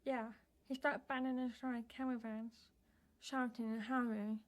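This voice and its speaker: High-voiced, hesitant teenage girl, played by a woman. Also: flat delivery, unhurried, natural voice. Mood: hesitant, guarded. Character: earnest, frightened deaf teenage girl witness. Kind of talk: naturalistic radio drama acting.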